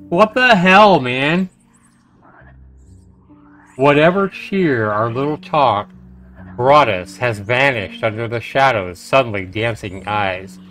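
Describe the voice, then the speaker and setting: A male livestreamer reads game narration in an average-pitched voice at a slow pace. His delivery is dramatic, with colloquial asides. Faint music plays behind him. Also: a bit bright. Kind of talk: game narration read aloud with casual commentary.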